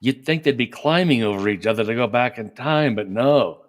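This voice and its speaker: A man's voice: mid-range voice, moderate pace, lightly acted. An actor playing Michael, a curt, dismissive workaholic man who runs a time-travel heist crew, in an audio drama. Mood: scornful.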